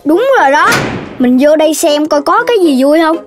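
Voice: high-pitched, lively intonation, a little fast, music in the background. An actor voicing a greedy, cheeky little boy piglet with a blunt, teasing manner in a children's cartoon. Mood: blunt, cocky. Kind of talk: children's cartoon dubbing in Vietnamese.

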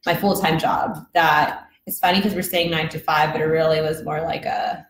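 A woman's voice: low-pitched, fairly even intonation, moderate pace. A young woman from Mexico, a podcast guest, telling her story in an earnest, reflective way at a desk mic in an office room. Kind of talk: casual interview chat. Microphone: desk microphone. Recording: echoey room, faint noise.

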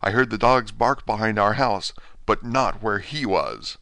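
A man's voice: lowish voice, a little slow, vocal fry, sharp tone, dry recording, exaggerated voice. A man voicing a dying asylum patient, weak and frantic, pleading in urgent gasps. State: weak, near death.

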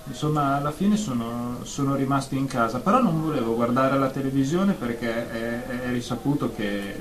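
A man's voice: mid-range voice, moderate pace, close to an everyday voice. An actor playing an anxious, phobic male therapy patient in a radio drama, stammering hesitantly and repeating words. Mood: nervous, hesitant. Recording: roomy echo, faint background noise.

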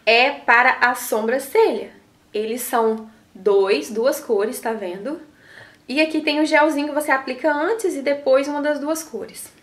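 A woman with a Brazilian accent talks straight to camera in a small studio, teaching makeup basics in a chatty, affectionate way and tagging questions onto her phrases. Her voice sits high and sounds a little nasal from a cold. The room adds a noticeable echo. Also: a little slow, fairly bright tone. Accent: Brazilian Portuguese. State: has a cold, slightly nasal voice. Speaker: makeup artist and beauty vlogger. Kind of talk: beauty tutorial to camera. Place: small indoor studio in front of a logo backdrop. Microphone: camera framing head and shoulders. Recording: roomy echo.

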